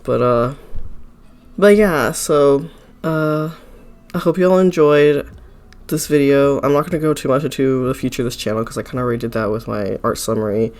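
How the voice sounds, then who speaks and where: A man talking in a loose, rambling voice-over, hoarse and gravelly after being sick, a little stuffy, full of "like" and "uh" and quick apologies. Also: mid-range voice, a little mellow. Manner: rambling, with many fillers like "like" and "uh", apologetic. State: hoarse, gravelly voice from a recent illness; slightly stuffy nose. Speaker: art channel creator. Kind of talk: casual voice-over chat. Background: faint background music.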